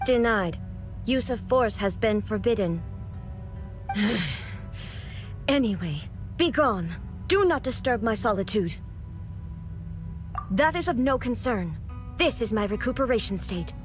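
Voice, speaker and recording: A cold, emotionless puppet ruler from a game, who hands out curt, formal commands in a somewhat high voice. Every sentence sounds final. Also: female voice, expressive, a little slow, pauses often, soft background music.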